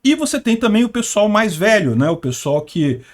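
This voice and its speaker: A man in his mid-fifties with a voice pitched high for a man. He talks like he's chatting with a friend, rambling from point to point with lots of asides and quick check-ins with the listener. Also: sing-song. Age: 50s. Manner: conversational, rambling, with frequent asides and tag questions. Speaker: political commentary channel host. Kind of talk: opinionated solo commentary to camera.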